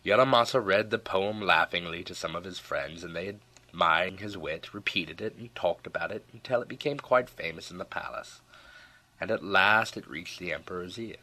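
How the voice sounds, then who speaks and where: Male audiobook narrator, very low register, crisp bright edge, scripted storybook read, measured and expressive. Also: low-quality recording.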